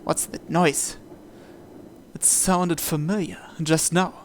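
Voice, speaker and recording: A man's voice: a little high, steady tone, slow, slight background noise. A man reading a visual novel aloud as first-person narration for a playthrough. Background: game music.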